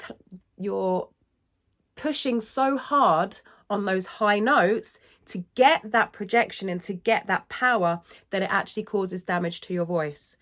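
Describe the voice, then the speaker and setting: A woman singing teacher giving an upbeat, encouraging lesson to camera, now and then dipping into vocal fry. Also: mid-range voice, a bit bright. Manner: dips into vocal fry when speaking. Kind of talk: instructional talk to camera.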